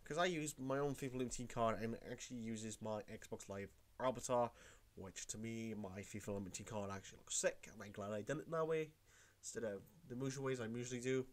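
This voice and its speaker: A young man with a cold, rambling through a casual tutorial vlog from his room at home. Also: mid-range voice. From Wales. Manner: rambling, repeats himself. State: has a cold. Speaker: YouTuber.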